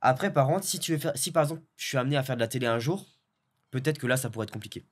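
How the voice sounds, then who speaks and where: A man's voice: mid-range voice, rapid-fire. Young man, laid-back slangy chat. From French Guiana. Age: young adult. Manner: relaxed, slangy, many fillers and restarts. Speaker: video editor guest. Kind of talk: casual interview chat.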